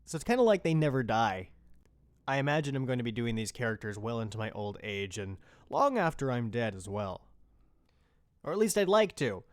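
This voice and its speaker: Male podcast host, medium pitch, crisp clear tone, dry studio-tight sound, loose and informal unscripted delivery. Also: animated, brisk, no echo. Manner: informal, rambling, mildly profane. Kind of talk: casual unscripted podcast talk.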